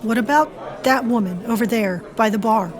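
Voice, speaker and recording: A woman's voice: fairly high pitch, moderate pace, a crowd in the background, close to an everyday voice. A woman acting in an audio drama as a flippant, sardonic, hard-drinking club performer. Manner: breezy, sardonic banter. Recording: loud club music behind the voice.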